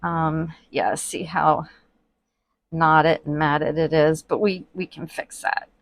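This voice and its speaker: A woman talking slowly and chattily as she works at her table, full of ums, her voice often dropping to a murmur. She sniffles now and then from allergies. The sound is dry and close, with no room echo. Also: low voice, steady tone, gravelly. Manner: chatty, many fillers, quiet and murmured while working. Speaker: reborn doll artist. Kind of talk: casual crafting tutorial vlog. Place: indoors at a worktable.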